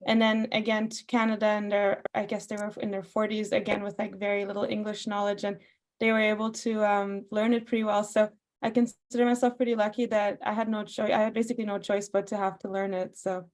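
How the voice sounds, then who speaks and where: A woman's voice: medium pitch, level tone, brisk. A young woman singer from Austria whose first language is Farsi, chatting as a podcast guest and laughing often. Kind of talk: casual interview chat.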